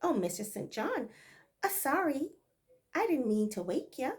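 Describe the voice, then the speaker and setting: A woman's voice: sing-song, measured pace. Woman doing a jittery Barbadian housemaid. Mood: nervous. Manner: higher, louder dialect voice. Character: nervous female housemaid, jittery and eager.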